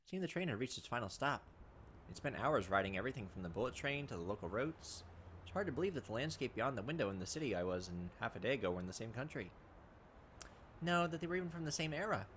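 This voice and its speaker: A man's voice: mid-range voice, sing-song, fast, fairly bright tone, a little hiss or hum. A man hosting a let's play, reading a visual novel's narration aloud with casual asides. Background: game music behind the voice.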